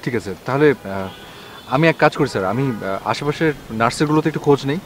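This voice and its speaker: Touchy, defensive young man, played by a man, TV drama: rapid delivery, loud music underneath. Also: medium pitch, animated, natural voice. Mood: deferential. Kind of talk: Bangla TV drama acting.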